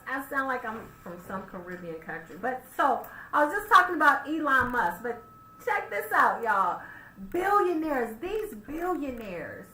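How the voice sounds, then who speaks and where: A woman hosting a radio talk show, talking straight to her listeners in an animated way and firing off rhetorical questions. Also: fairly high pitch. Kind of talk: casual radio monologue. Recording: roomy echo, faint background noise.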